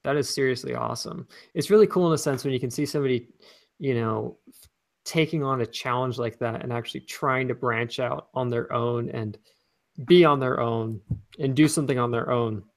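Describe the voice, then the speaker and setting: A man's voice: mid-range voice, moderate pace, vocal fry. A man co-hosting a casual talk show, rambling with lots of filler words. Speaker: co-host. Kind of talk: casual chat.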